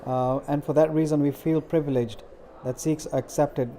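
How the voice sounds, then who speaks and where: Earnest middle-aged man, mellow voice. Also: fairly high pitch, fairly even intonation, slight background noise. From India. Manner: earnest, measured with frequent fillers. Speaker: interviewee, community organizer. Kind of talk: interview answers.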